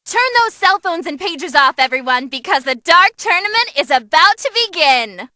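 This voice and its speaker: Perky, bloodthirsty female arena announcer for a fighting game; very high, hugely animated delivery, theatrical and showy. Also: moderate pace, no room sound, clean studio sound. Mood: perky, gleeful. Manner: perky, showy, gleefully relishing violence. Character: cute bubbly bloodthirsty female tournament announcer.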